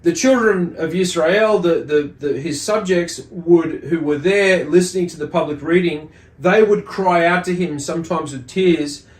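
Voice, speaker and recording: A man with a slightly high voice speaks in an echoey room. He teaches like the host of a religious study series, explaining texts carefully. His phrasing is deliberate and halting, often circling back over a word. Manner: halting, deliberate phrasing with repeated words and pauses. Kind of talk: explanatory lesson with readings and commentary.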